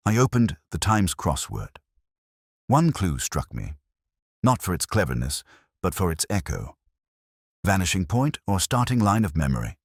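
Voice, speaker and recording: A man reading a scripted first-person detective story in a measured, literary, brooding manner. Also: low voice, dry recording, clean studio sound. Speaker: narrator.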